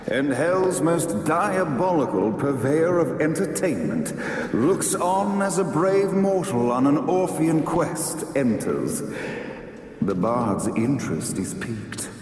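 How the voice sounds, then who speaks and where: An actor playing a grandiose stage narrator in a video game, declaiming florid lines in a measured, dramatic way. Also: male voice, a little high, very animated, a little mellow. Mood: grand, ominous. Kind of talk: theatrical scripted game narration. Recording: echoey hall, distant, noisy backdrop.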